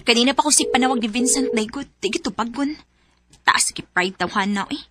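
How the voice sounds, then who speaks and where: A woman's voice: fairly high pitch, brisk, noisy background. A young woman acting the lead in a radio drama, emotional and pleading.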